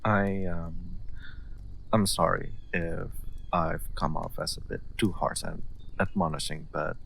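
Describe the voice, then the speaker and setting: Man acting a low, gravelly, gentle nobleman. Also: slight background noise, exaggerated voice. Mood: gentle, reassuring. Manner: soft-spoken, hesitant, chuckling, formal wording. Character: gentle, wry young nobleman comforting a guest. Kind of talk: intimate scripted audio roleplay, addressed to listener.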